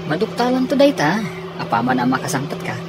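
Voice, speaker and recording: An actor playing a loyal, supportive buddy in a radio drama, with an Ilocano accent. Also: male voice, on the high side, very animated, fast, noisy background. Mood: questioning. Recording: loud music and car sound behind.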